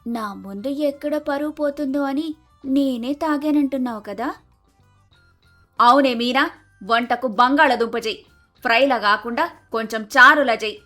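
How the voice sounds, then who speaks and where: A woman voicing sharp-tongued, sarcastic village in-laws who scold and bicker in a dramatised moral story. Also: high-pitched. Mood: quarrelsome. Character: sharp-tongued bickering mother-in-law and daughter-in-law in village household.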